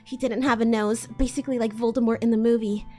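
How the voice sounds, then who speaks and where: A woman's voice: on the high side, steady tone, fast, a little mellow. A woman streamer giving a theatrical, amused live dramatic reading of fan fiction. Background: faint music.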